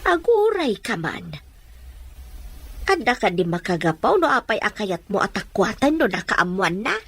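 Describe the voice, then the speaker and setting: A woman's voice: mid-range voice, sing-song, a little slow, fairly bright tone, breathy edge, dry recording, exaggerated voice. A woman playing a concerned, probing mother in an audio drama. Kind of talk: Ilocano audio drama dialogue.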